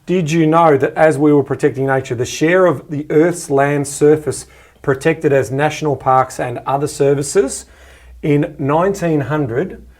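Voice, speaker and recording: A man's voice: mid-range voice, a little mellow. A man with an Australian accent chatting enthusiastically as a podcast co-host in a casual style. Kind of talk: casual chat.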